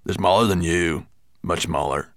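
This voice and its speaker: A man's voice: deep voice, moderate pace, no echo, clean studio sound, close to an everyday voice. A man voicing a small, put-upon dwarf servant who is sulky, nervous and grumbling.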